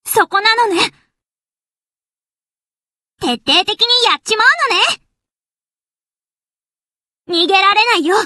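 A woman's voice: extremely high pitch, normal speed, bright, forceful tone, no room sound, clean studio sound. A woman voicing a cheeky, quirky young warship girl in an anime game, cutesy, playful and mock-polite. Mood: eager, feisty. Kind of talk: Japanese anime game character lines.

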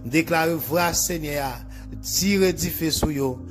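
A man with a Haitian accent delivers a preacher's prayer in short, declarative phrases. His voice sits a little higher than most men's. He repeats his lines like an incantation, with music clearly playing behind him. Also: takes it slowly. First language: Haitian Creole.

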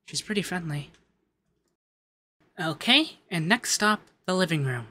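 Soft, unhurried rich femboy acted by a man. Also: on the high side, expressive, heavily acted. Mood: friendly. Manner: soft-spoken, giggly, affectionate, hesitant fillers. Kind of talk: ASMR roleplay.

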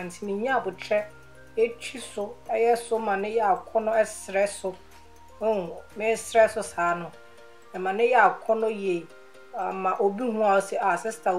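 A woman in her thirties from Ghana with a Ghanaian accent, talking casually through a makeup tutorial and repeating words for emphasis. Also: mid-range voice. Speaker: beauty vlogger. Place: small indoor room, seated in office chair.

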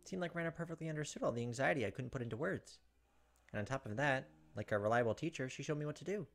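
A man's voice: medium pitch, expressive, quick pace. A man in his thirties hosting a gameplay video, expressively reading a visual novel's narration aloud.

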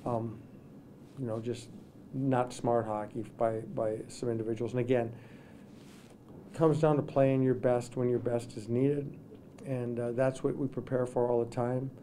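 A middle-aged man, a hockey coach, answering questions at a postgame press conference, talking in halting bits with many restarts. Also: mid-range voice, steady tone, warm tone, slight background noise. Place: press room with sponsor backdrop. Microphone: table microphones in front.

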